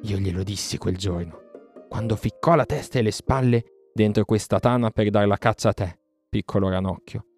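Man as a low, grave mother wolf. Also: moderate pace, a little mellow, soft background music. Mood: proud, grim. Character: stern, proud mother wolf, protective of her cub.

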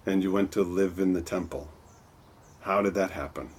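A man's voice: low-pitched, normal speed, breathy edge. A man hosting a podcast, reading an introduction and then asking questions, often stammering and repeating words as he asks. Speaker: podcast host. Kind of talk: interview host, scripted intro then chat.

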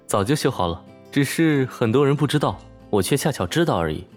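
A man's voice: mid-range voice, animated, moderate pace, close to an everyday voice. An actor playing a confident, devoted young male office colleague and suitor in a romantic audio drama. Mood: teasing. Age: young adult. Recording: plucked guitar music behind the voice.

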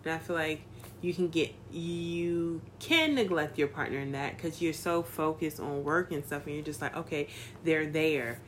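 A woman's voice: sits low, normal speed, a little hiss or hum. A woman in her thirties in casual chat, playful and teasing with slang.

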